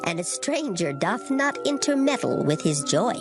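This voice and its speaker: A woman giving a dramatized reading of scripture in formal old English, measured and solemn. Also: medium pitch, sing-song. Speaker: scripture reader. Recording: loud music behind the voice. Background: music.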